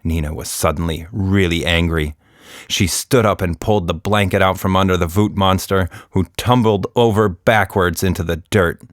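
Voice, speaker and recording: A man's voice: deep voice, moderate pace, no room sound, studio quality. A man narrating a scripted children's story as a podcast storyteller.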